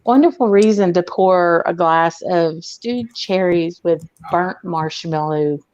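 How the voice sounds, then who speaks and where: Chatty Southern woman, low voice. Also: slow pace, a little mellow, dry recording, lo-fi sound. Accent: mixed Southern US. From Arkansas, US. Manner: chatty storyteller with frequent ums and laughs. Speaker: podcast guest. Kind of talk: casual chat.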